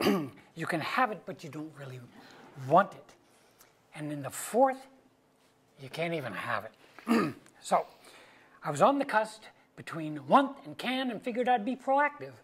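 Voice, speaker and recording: A man playing a wry, rambling middle-aged suburban husband in a staged play reading, with a husky voice and mock-grand delivery. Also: fairly high pitch, fairly bright tone, heavily acted.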